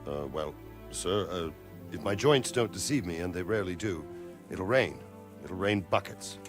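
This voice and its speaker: An actor playing a gruff, plain-spoken ageing medieval soldier in a video game. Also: male voice, sits low, lively intonation, normal speed, over music. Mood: wry. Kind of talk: dramatic video game acting.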